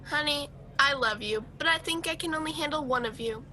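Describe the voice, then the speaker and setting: Woman acting devout young fiancee in a radio play, high bright voice, sincere, bit of background noise. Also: a little fast. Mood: happy, affectionate. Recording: home video call with noise and rumble.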